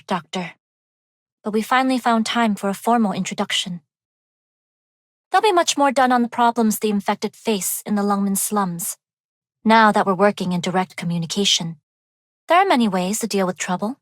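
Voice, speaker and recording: A woman voicing a refined young underworld heiress in a video game, speaking in a composed, measured and formal way. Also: medium pitch, no room sound, studio quality, natural voice. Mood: polite, lightly teasing, then cool, resolute. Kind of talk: scripted game character voice lines.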